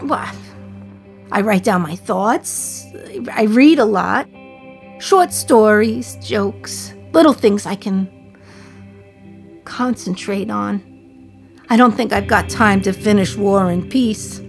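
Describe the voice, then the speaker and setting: A woman's voice: mid-range voice, slow pace, long pauses, soft background music. An actress in her thirties playing a condemned woman in prison, reflecting in a wry, sardonic radio-play monologue. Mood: reflective.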